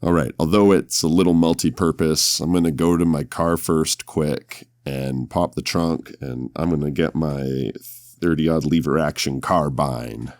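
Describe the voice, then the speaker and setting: Very deep, gravelly man's voice. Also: fairly even intonation, unhurried, a little mellow, no echo, studio quality. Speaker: podcast host and tabletop player. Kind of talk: scripted horror intro narration, then casual roleplay.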